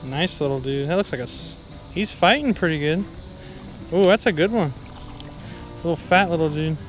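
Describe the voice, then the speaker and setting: A man's voice: fairly high pitch, lively intonation, moderate pace, faint music behind, rough recording. A young man chatting casually and jokily outdoors as he fishes, with a laid-back, teasing manner. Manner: laid-back, jokey exaggeration. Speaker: fishing vlogger. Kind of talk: casual chat while fishing. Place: outdoors on a wooded river. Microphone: action camera worn or held by him.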